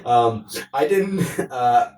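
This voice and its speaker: A man's voice: mid-range voice, animated, takes it slowly, darkish tone. A man co-hosting a podcast, chatting casually in a joking, crude, sweary, bantering way. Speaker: podcast co-host. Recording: roomy echo, distant from mic.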